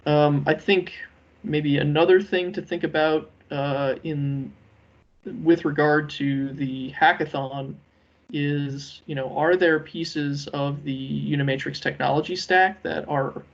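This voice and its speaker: A man with a fairly high, gravelly voice presents a proposal on a conference call. His delivery is measured and hesitant, broken up by frequent ums, and his pitch stays very flat. The audio is dull and slightly lo-fi. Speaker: working group lead presenting a proposal.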